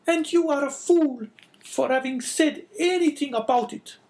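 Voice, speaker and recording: A man reading an audiobook voices a sickly, suspicious wife in a shrill, scolding tone. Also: measured pace, darkish tone.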